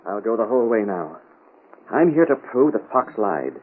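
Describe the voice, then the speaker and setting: An actor playing a defiant, desperate scientist, scornful and theatrical, in an old radio drama. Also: male voice, lowish voice, very animated, moderate pace, slightly rough. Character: indignant, defiant male scientist holding a gun, desperate. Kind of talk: 1930s-40s radio drama acting. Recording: muffled old radio broadcast recording.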